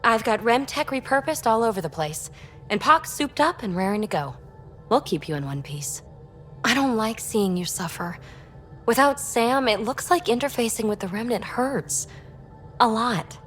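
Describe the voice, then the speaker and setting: An actress playing a feisty, affectionate starship crewmate in a video game, with a playful, informal manner. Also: female voice, fairly high pitch, lively intonation, moderate pace, faint music behind, close to an everyday voice. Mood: fond, teasing.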